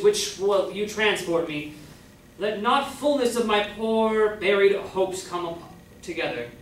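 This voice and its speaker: A man's voice: high-pitched, fairly bright tone. A young man, a student, playing an ardent, brooding lover in verse in an amateur staged reading. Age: young adult. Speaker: student actor. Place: college room, staged reading. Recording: very echoey room, far off, faint noise.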